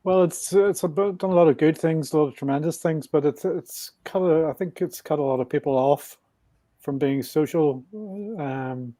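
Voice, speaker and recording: A man in his sixties with a Belfast Northern Irish accent, a podcast guest reflecting on things in a measured, thinking-aloud way. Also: on the high side, mellow, dry recording. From Northern Ireland. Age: 60s. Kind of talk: casual interview talk.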